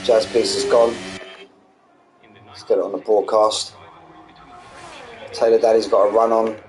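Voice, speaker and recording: A young man with a hoarse, fading voice, calling an online sim race live with quick, excitable play-by-play and chatty asides. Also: on the low side, soft background music. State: losing his voice, hoarse. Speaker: sim racing league commentator. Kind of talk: live race commentary streamed. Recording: noisy streamed audio.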